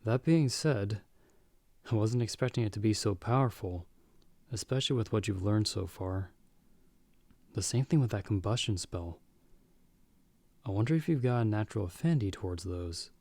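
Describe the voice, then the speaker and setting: A man playing a calm, world-weary half-vampire hunter in an audio roleplay, speaking in a measured, understated way as a protective mentor to a companion. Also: lowish voice, no echo. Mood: reflective. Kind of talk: ASMR audio roleplay acting.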